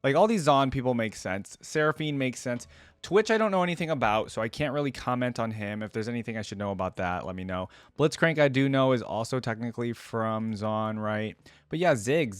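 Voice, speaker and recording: A man's voice: medium pitch, no room sound. Young man reacting, close and dry. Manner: rambling, thinking aloud in loose asides. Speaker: reaction video host. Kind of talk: casual reaction commentary.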